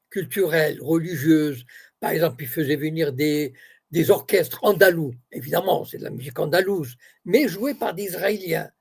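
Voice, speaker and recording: A man's voice: fairly high pitch, gravelly, a little mellow, husky. A man from Morocco whose first language is Arabic, answering questions as an interview guest and explaining point by point with many restarts.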